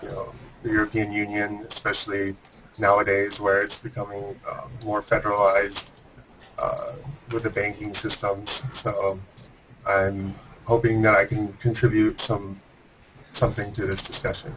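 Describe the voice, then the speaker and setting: A man's voice: fairly low pitch, moderate pace, distant mic, background noise. A young man raised between Spain and the United States, introducing himself casually on a video call. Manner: flat, casual, uses "you know". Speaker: panelist. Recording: dull lo-fi video call audio.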